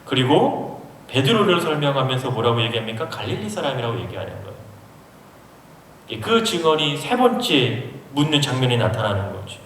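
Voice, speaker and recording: A man's voice: mid-range voice, sing-song, moderate pace, a bit bright, slight background noise. A man from Seoul preaching a sermon as a pastor, explaining a passage and putting questions to his listeners. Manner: explains and asks the audience questions. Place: large university auditorium. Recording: echoey hall from far off.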